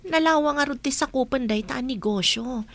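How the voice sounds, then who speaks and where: A young woman friend, played by an actress, nosy and teasing. Her voice is very high, in conversational audio drama style. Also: a little fast, fairly bright tone. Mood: curious.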